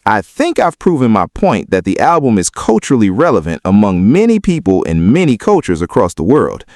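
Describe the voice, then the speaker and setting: A man's voice: on the low side, huge pitch swings, brisk, a little mellow, no echo, clean studio sound. A man giving opinionated video commentary in an emphatic, argumentative way. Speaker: video commentator on hip-hop.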